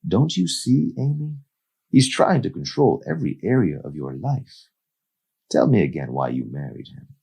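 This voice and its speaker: A man's voice: lowish voice, sing-song, normal speed, gravelly, mellow, slightly rough, pitched above the performer's own voice, exaggerated voice. A man voicing a frustrated adult male lover in a novel reading, earnest and insistent in his delivery. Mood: pleading.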